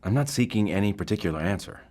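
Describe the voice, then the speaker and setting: An actor playing a calm, probing bureau therapist in an audio drama, speaking in a formal, deliberate way. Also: male voice, fairly low pitch, lightly acted. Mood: firm, measured.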